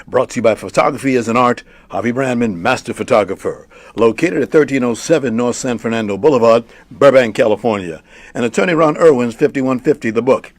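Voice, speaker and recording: A man's voice: medium pitch, brisk, no room sound. A man from Harlem hosting an interview show with upbeat delivery, repeating phrases for emphasis. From Harlem, New York. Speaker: talk show host.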